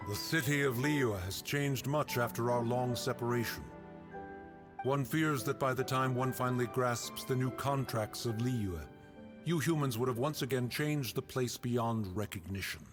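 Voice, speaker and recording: An actor playing a dignified, reflective ancient guardian spirit in a video game, speaking in an old-fashioned formal way. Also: male voice, medium pitch, moderate pace, music in the background, close to an everyday voice. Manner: formal and archaic.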